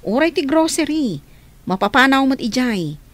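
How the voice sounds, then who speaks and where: Woman playing a calm older caretaker. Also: high voice, moderate pace. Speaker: radio drama actor.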